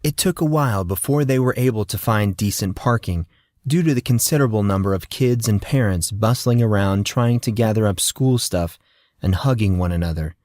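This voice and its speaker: A man's voice: on the low side, brisk, darkish tone, no echo, studio quality. A man reading scripted fiction as an audiobook narrator.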